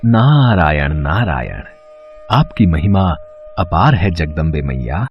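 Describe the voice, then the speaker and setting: A man voicing a devout, questioning heavenly sage in a mythological cartoon, declamatory and drawn-out. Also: rumbling low, big pitch swings, exaggerated voice. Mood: reverent praise. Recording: dull lo-fi track with music.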